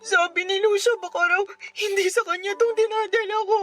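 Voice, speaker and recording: Very high-pitched, distressed young Filipina. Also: female voice, fairly even intonation, a little fast, fairly bright tone. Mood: anxious. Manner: plaintive, pleading. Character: distressed, insecure pregnant twenty-year-old daughter. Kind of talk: melodramatic radio drama acting.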